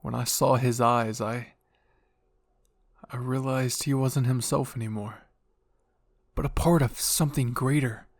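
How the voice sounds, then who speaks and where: A man's voice: mid-range voice, fairly even intonation, a little slow, vocal fry, long pauses, dry recording. A man voicing a pushy, fanatical royal father with a grandiose, fervent delivery in dramatic fan fiction roleplay.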